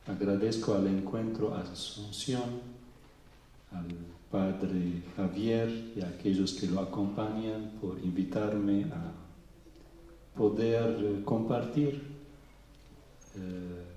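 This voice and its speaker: A middle-aged man from Venezuela speaking slowly and thoughtfully at a public talk, pausing often. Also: on the low side, warm tone. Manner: slow and thoughtful, with pauses and fillers. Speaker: Orthodox bishop giving a talk. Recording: echoey hall, mic far off.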